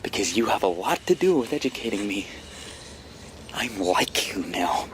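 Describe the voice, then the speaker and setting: An actor playing a bitter young avenger in a radio drama, deliberate and menacing, often hushed. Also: male voice, fairly low pitch, big pitch swings. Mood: bitter, vengeful. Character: hardened young avenger, bitter, cold and merciless. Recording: faintly noisy radio drama recording.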